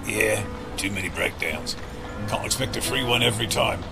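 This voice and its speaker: Guy doing brisk bossy shipyard boss, creaky voice, clipped talk, music behind. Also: lowish voice, lively intonation. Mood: gruff, brisk. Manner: blunt, clipped colloquial speech. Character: brisk, bossy male shipbreaking yard boss. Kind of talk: audio drama acting.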